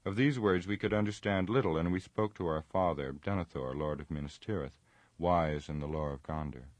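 A proud, earnest warrior lord's son, read by a man. His voice sits very low and sounds close and dry. He tells his tale formally and gravely. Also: fairly quick, dry recording, natural voice. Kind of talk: audiobook reading.

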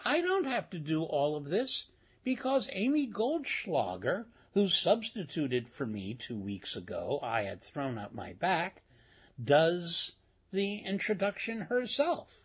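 A man's voice: a little high, huge pitch swings, unhurried, slightly rough. A man hosting a radio show, giving a chatty, rambling introduction with playful asides. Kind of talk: casual spoken introduction.

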